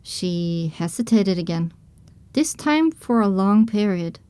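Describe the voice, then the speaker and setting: A woman reading scripted first-person narration as the heroine of an audio drama, recounting her dark adventure. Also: medium pitch, lively intonation, slow pace, clear, resonant tone, no room sound. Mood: somber recounting.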